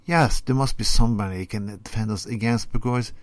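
A man whose first language is Norwegian, speaking in a fairly low voice with deadpan sarcasm. His phrasing is halting and non-native, and the recording is dry and close, with no room sound. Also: dry recording. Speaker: podcast segment presenter. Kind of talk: scripted commentary segment, sarcastic.

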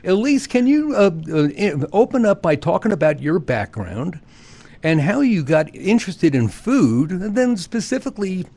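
A man's voice: high voice, sing-song, normal speed, a little mellow. Man hosting a food talk show. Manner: hesitant, many uhs. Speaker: show host. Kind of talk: podcast interview hosting.

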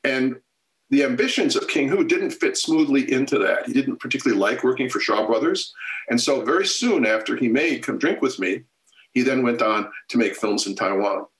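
A man answering interview questions at length in a measured, lecture-like way, with frequent pauses and fillers. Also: mid-range voice, animated, fairly bright tone, reverberant, low-quality recording. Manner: measured and expository, many fillers and restarts. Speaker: film scholar guest.

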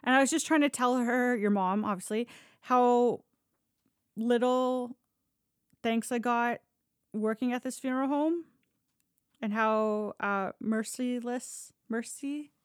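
A woman's voice: fairly high pitch, slow pace, long pauses, clean tone, no echo, clean studio sound. A Canadian woman telling stories casually on a podcast, candid and sweary, often acting out dialogue as she goes. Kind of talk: casual conversational storytelling.

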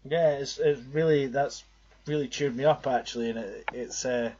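A young man hosts a casual podcast chat, speaking earnestly and rambling through his thoughts. His voice sits at an ordinary middle pitch. The audio is a little muffled and lo-fi. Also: moderate pace, a little mellow, slight background noise. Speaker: podcast co-host.

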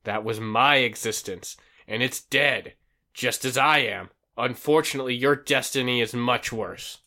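A man's voice: mid-range voice, measured pace, pushed and bright. Man doing a smug, sneering demon. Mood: sinister. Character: smug sinister male demon, mocking and gleeful.

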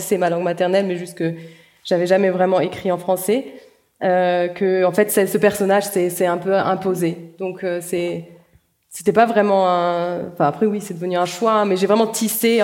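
A woman's voice: mid-range voice, darkish tone. Hesitant woman novelist, thinking aloud. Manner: hesitant, many restarts and fillers. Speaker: novelist guest. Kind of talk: reflective interview answers.

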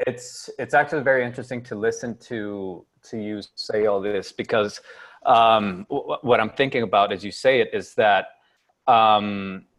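A man's voice: fairly low pitch, normal speed, a bit bright. A man from Costa Rica speaking hesitantly, with many fillers, in a casual discussion. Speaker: panelist.

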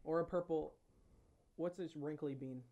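Male co-host with a high-pitched voice, casual and animated, tossing playful asides into the chat. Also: normal speed, muffled recording, faint music behind. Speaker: podcast co-host. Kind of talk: casual banter.

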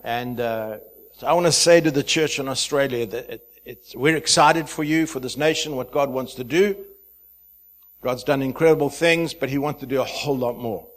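A man in his fifties from Zimbabwe, a pastor speaking to a church, chatty and halting, with many fillers and restarts. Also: medium pitch. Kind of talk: sermon introduction.

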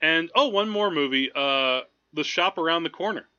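Bright, higher-voiced man, chatting casually. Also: lively intonation, moderate pace, dull audio. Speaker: film podcast host. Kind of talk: casual film discussion.

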